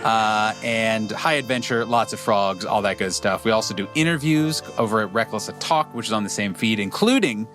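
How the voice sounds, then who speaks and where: A man's voice: lowish voice, moderate pace, hardly any pauses, a bit bright, soft background music. A man guesting on a podcast over a video call, talking casually and rambling with lots of filler words. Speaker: game designer and podcast guest. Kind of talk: casual chat.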